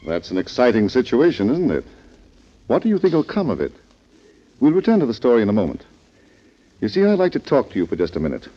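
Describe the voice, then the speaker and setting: A man's voice: medium pitch, huge pitch swings, quick pace, long pauses, warm tone, a little hiss or hum. A man hosting and narrating a 1940s radio mystery show, addressing listeners in a polite, formal, scripted manner. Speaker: host, in character as club librarian. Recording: muffled 1940s radio recording.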